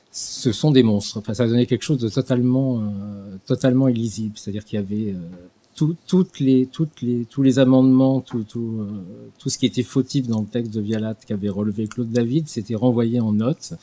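Older man, dark husky voice, halting. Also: medium pitch. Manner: hesitant, many restarts and fillers. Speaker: guest lecturer. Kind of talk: unscripted talk. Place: small studio with dark purple backdrop. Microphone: desk mic on stand.

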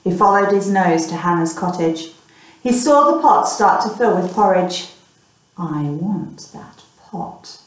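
Woman, thirties, picture-book narration for a child, mid pitch, strong room echo. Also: expressive, moderate pace. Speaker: storytelling teacher and mother. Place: small plain room indoors. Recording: very echoey room, distant microphone.

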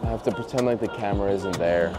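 A young man with a very low voice talks into a camera held at arm's length, chatty and joking despite being worn out from travel. Music plays underneath him throughout. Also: fast talker. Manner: casual, upbeat, joking asides. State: tired. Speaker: vlogger. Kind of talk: handheld travel vlog chat. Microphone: camera mic at arm's length.

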